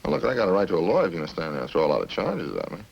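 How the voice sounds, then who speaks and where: A man's voice: deep voice, very animated, racing, gravelly, highs cut off, heavily acted. An actor playing an evasive male ex-convict suspect in a 1950s radio crime drama, full of indignant, slangy denials. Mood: defensive. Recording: hissy old-time radio recording.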